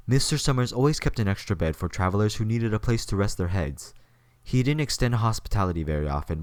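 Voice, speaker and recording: A man with a deep voice narrates a story from a script. He serves as the narrator of an audio drama. The recording is close and dry, with no room sound. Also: darkish tone, dry recording.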